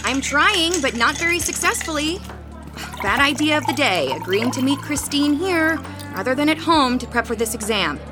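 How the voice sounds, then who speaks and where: An actress playing a young student who works as an in-home companion and speaks frankly, sounding exasperated. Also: female voice, sits high, lively intonation, moderate pace, music in the background.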